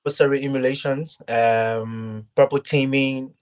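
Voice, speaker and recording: A young man from Ghana, speaking as a guest on an online interview show. He talks earnestly and haltingly, restarting phrases as he goes, at a middle pitch. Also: fairly even intonation, clean tone. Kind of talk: casual interview talk.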